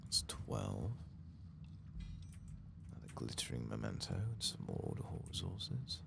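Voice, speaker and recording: Very deep, gravelly, soft-spoken man. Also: big pitch swings, unhurried, heavy background noise. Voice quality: soft, often breaking into whisper. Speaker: ASMR gaming host. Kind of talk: soft-spoken and whispered ASMR game playthrough.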